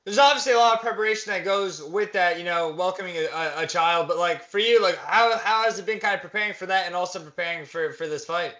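A man's voice: sits high, fast talker, few pauses, bright, forceful tone. A young man interviewing over a video call from indoors, asking easygoing questions with many fillers. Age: young adult. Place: indoors, plain wall. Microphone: webcam on a video call. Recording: dull video-call audio.

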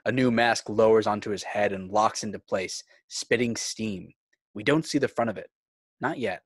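A man's voice: lowish voice, normal speed, breathy edge, no room sound. A man narrating screenplay scene headings and action in a scripted read. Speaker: narrator reading stage directions. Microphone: video call.

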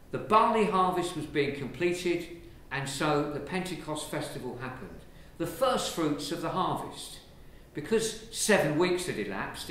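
English priest preaching, slightly high voice that swings around a lot, big echoey church, mic far off. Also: male voice, a little hiss or hum. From England. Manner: lively, conversational preaching with gestures. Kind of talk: sermon. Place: large church, indoors. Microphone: far camera.

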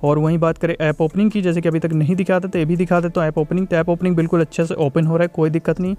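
A man's voice: fairly high pitch, little pitch movement, racing, mellow. A man giving a casual, repetitive tech review voice-over, mixing in English tech terms.

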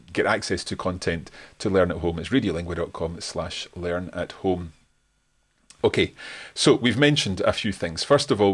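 A man in his forties with a Scottish accent, hosting a live stream from his bookshelf-lined study and answering viewer comments into a desk mic in a relaxed, friendly way. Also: fairly low pitch. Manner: relaxed and friendly, thanks viewers, frequent fillers. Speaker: host. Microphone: desk microphone in front, webcam framing.

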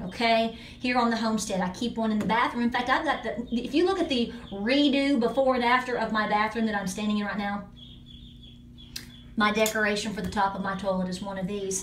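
A chatty woman with a fairly high voice talks straight to her viewers, emphatic and friendly. She is recorded on a handheld phone in a small bathroom that rings with echo. A faint hiss sits under her voice. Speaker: homesteading channel host. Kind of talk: casual product review vlog.

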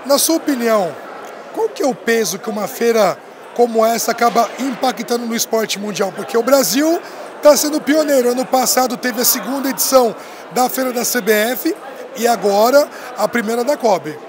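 A man in his thirties interviewing a guest on a handheld mic at a busy expo hall, asking relaxed, rambling questions. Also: very high-pitched, normal speed, noisy background. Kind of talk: casual interview.

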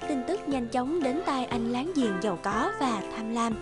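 A woman's voice: a little high, lively intonation, measured pace. A woman giving scripted storybook narration for a fairy-tale cartoon. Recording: very loud music under the narration.

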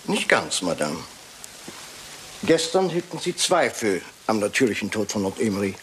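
An actor playing a fastidious, shrewd Belgian detective in a German crime radio play, speaking precisely and probingly with French words sprinkled in. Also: male voice, on the low side, big pitch swings, fairly bright tone, slight background noise, rough recording. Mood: quietly insistent.

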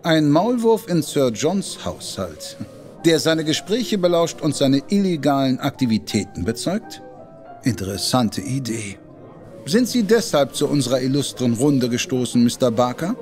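An actor playing a proud, protective detective who speaks emphatically and with indignation. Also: male voice, medium pitch, big pitch swings, a little slow, a little mellow, faint music behind. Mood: indignant, lively. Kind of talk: German audio drama acting. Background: faint background score.

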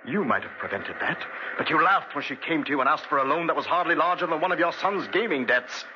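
A man's voice: on the high side, animated, fast, bright, forceful tone, slight background noise. An actor playing a vengeful, grief-maddened man in 1940s radio drama style, grave and theatrical. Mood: cold, menacing. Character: vengeful, grief-maddened man, escaped debtor turned obsessive avenger. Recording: muffled, dull 1940s radio recording.

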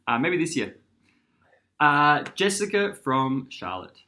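A man's voice: fairly high pitch, very animated, takes it slowly, sharp tone. An Australian man in his thirties, a musician guest chatting casually with many fillers while he rambles, recorded indoors by a window on a camera at arm's length. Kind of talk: casual chat.

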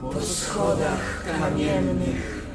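Visionary male mourner: high, very slow incantation for poetic radio drama. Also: lively intonation. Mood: solemn exaltation. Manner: incantatory, solemn. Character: exalted, visionary male mourner of a fallen hero. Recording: echoey, distant, loud music behind.

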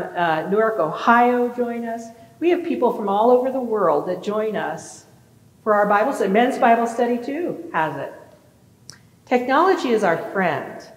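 A middle-aged woman pastor preaching a sermon in a large church sanctuary, animated and questioning her congregation. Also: medium pitch. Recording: echoey church with long reverb.

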